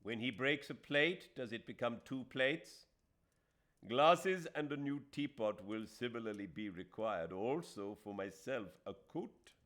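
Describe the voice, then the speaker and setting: A man voicing a moody, proud and sensitive Indian gentleman in an audiobook, with emphatic, fretful delivery. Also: medium pitch, measured pace, voice pushed up, natural voice. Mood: irritable.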